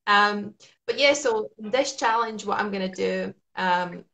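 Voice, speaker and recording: A woman's voice: medium pitch, a little slow, gravelly, a bit bright. A woman from Latvia with a Latvian accent, talking as a forex trader in a casual video-call interview, chatty with lots of fillers. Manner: chatty, laughs often, many fillers. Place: small indoor room at night. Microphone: video call webcam.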